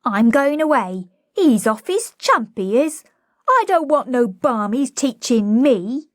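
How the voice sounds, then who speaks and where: A woman voicing a brash young flower girl, loud and indignant. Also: high voice, big pitch swings, slow, no echo, studio quality, pitched above the performer's own voice, heavily acted.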